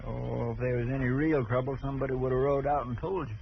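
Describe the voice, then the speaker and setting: A man's voice: mid-range voice, steady tone, fast, creaky, a little hiss or hum, lightly acted. A man playing a folksy, deferential deputy sidekick in a radio western, with a rural American drawl and a homespun, mildly complaining manner. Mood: grumbling, folksy. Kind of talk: 1950s radio western acting. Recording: muffled old radio recording.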